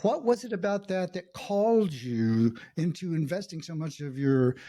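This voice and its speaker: A middle-aged man hosts a podcast interview in a mellow, warm-toned voice that sits a little high. He is curious and chatty, and he drifts into long thinking-aloud asides. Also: animated, moderate pace. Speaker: podcast host. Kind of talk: casual interview chat.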